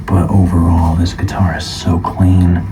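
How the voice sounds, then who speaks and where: A soft-spoken man doing an ASMR roleplay as a guitar shop appraiser, calm and measured, at times slipping into a whisper. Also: low-pitched, background noise.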